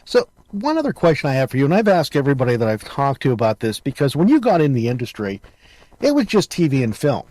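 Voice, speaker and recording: A man's voice: mid-range voice, huge pitch swings, fast, darkish tone, no echo, low-quality recording. A man hosting a casual interview, circling back and rephrasing as he goes. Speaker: interview show host.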